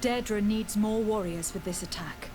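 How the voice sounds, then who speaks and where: A young noblewoman diplomat, acted by a woman in a fantasy video game. She speaks at a middle pitch, measured and articulate, with earnest resolve. Clear game ambience noise sits behind the voice. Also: lightly acted. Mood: earnest, persuading. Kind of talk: scripted video game dialogue acting.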